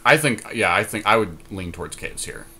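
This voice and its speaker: Low-pitched man thinking aloud. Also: animated, brisk, bright, forceful tone, husky. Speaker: podcast co-host. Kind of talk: casual card game draft commentary.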